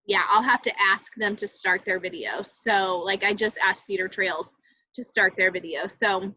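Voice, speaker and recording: A woman's voice: mid-range voice, moderate pace, bright, forceful tone, husky, dry recording. A woman moderating a video call, thinking aloud hesitantly as she gives casual technical help. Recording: dull, dry video-call audio.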